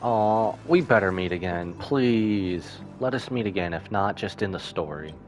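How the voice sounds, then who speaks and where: A man's voice: sits low, animated, unhurried. A man giving casual, slangy live reaction commentary as a game streamer. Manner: slangy, informal.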